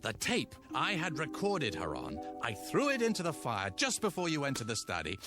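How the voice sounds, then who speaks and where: An actor playing an excitable, earnest playwright husband in a radio drama, pleading and stammering with dramatic emphasis. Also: male voice, on the high side, normal speed, over music. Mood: quiet, confiding.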